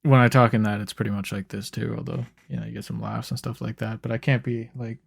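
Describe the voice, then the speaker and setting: A young man giving laid-back gameplay commentary in a flat, monotone ramble, his voice a bit hoarse and rough. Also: fast, no echo, clean studio sound. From Canada. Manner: monotone, low-key rambling. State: slightly hoarse. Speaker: gaming YouTuber. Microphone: desk microphone.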